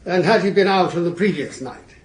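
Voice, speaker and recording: An actor playing an eloquent, shrewd defence barrister in a period courtroom radio drama, rhetorical and courteous but pointed. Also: male voice, high voice, a little fast, lightly acted. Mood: probing, rhetorical.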